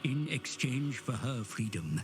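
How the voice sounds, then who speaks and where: A male actor playing a grave, ominous storyteller, narrating an epic fantasy game cutscene in a measured, dramatic way. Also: medium pitch, very animated. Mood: dramatic, foreboding. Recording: loud cinematic music behind the voice. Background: music.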